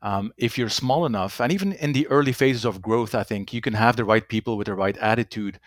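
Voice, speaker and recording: Low-voiced man, halting speech. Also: no echo, studio quality. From Belgium. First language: Dutch. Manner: halting, repeats words, tags sentences with "right?". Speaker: company executive guest. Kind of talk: conversational interview answers.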